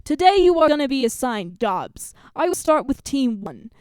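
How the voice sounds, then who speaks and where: Woman putting on a loud New York soccer coach. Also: high-pitched, sing-song, moderate pace, clear, resonant tone, no room sound, studio quality. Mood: bossy, loud. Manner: loud, over-enunciated announcing. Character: loud, brisk, bossy female soccer coach.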